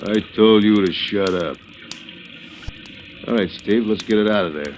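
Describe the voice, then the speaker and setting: An actor with an American accent playing a cocky, mocking gangster in a 1940s radio drama, bossy and slangy. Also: male voice, on the low side, measured pace, over music, lightly acted. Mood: menacing. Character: cocky, mocking male hoodlum gang leader. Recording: noisy old radio recording.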